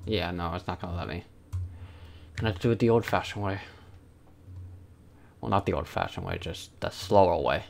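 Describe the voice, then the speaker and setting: A young man streams casually from a room at home. His voice sits fairly low with a strong fry. He talks to himself in halting bits as he works through a task. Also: expressive, slight background noise. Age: young adult. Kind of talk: casual livestream muttering while doing digital art. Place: small room at home, indoors.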